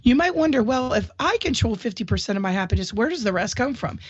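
A woman's voice: a little high, animated, quick pace, breathy edge, no echo. Upbeat woman host with a cold. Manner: upbeat, chatty, encouraging. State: has a cold, clears throat often. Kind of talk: solo talk show monologue. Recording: dull dry lo-fi audio.

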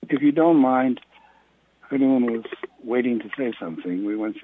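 A man's voice: medium pitch, expressive, normal speed. A man hosting a conference call casually and off the cuff over the telephone. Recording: narrow phone line, faint noise, clicks.

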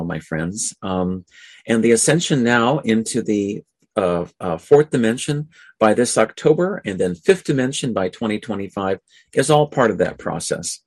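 A man hosting a radio talk show in an informal monologue, halting and often repeating or stumbling over his phrases. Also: lowish voice, husky, no room sound.

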